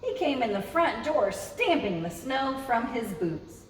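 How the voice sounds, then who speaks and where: Lady doing kids storytime, slow and super expressive, echoey room, mic kinda far away. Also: fairly high pitch. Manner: expressive, addresses young listeners directly. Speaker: children's librarian, storytime host. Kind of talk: children's read-aloud storytelling. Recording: roomy, echoey, distant mic with noise.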